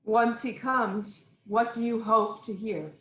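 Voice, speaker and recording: Actress as composed tragic queen: fairly high voice, formal and assured, roomy echoing recording. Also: steady tone, takes it slowly. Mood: concerned, questioning. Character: poised, concerned queen, wife of the king. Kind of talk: dramatic reading of Greek tragedy.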